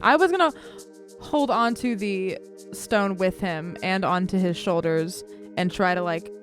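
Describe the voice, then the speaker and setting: A woman chatting casually and playfully as a player in a tabletop fantasy game, with a giggly, animated way of talking. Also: mid-range voice, unhurried, faint music behind. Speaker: player in an actual-play tabletop game podcast.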